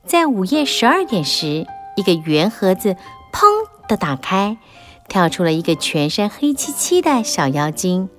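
Expressive woman reading a children's story. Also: medium pitch, unhurried, soft background music. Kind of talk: scripted children's audiobook narration.

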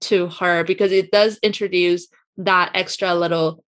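A young woman, not a native English speaker, speaking quietly and calmly with some hesitation in a casual chat. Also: on the low side, unhurried. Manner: quiet, composed, hesitant with fillers. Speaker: co-host.

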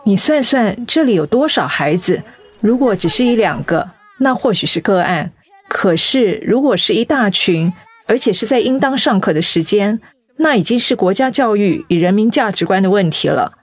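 An actress with a Taiwanese accent playing a principled young volunteer in a radio drama, thoughtful, resolute and articulate. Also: female voice, medium pitch, animated, moderate pace, no echo, lightly acted. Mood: serious, earnest. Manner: earnest, articulate. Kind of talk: Mandarin radio drama acting.

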